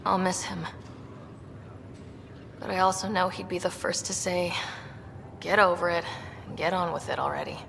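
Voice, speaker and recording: An actress playing a plucky, teasing young woman with a creaky voice in a sci-fi video game. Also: on the low side, fairly even intonation, normal speed, long pauses, a bit bright. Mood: subdued. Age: young adult. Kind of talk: naturalistic video game cutscene acting. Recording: echoey reverb with clear background noise.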